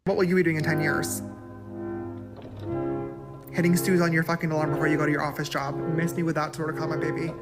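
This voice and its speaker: A woman in an inserted clip, shouting brashly. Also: sits low, little pitch movement, quick pace, pauses often, loud music behind the voice, natural voice.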